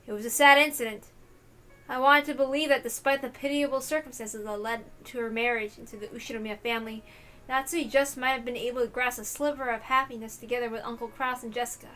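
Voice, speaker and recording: High, bright-voiced woman narrating. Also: slight background noise. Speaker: narrator reading a visual novel aloud. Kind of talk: dramatic scripted narration.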